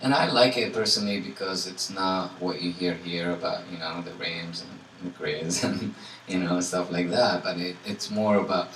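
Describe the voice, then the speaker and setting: A man from Tunisia, a guest musician answering audience questions in a relaxed way, full of "you know" and fillers. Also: low voice, normal speed, few pauses. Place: indoor salon event. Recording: echoey room from far off, faint noise.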